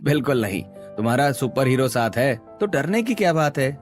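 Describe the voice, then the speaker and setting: A man's voice: mid-range voice, sing-song, moderate pace, soft background music, natural voice. A man voicing a protective young husband in a cartoon, helpful and reassuring. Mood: caring, reassuring. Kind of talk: Hindi cartoon dialogue.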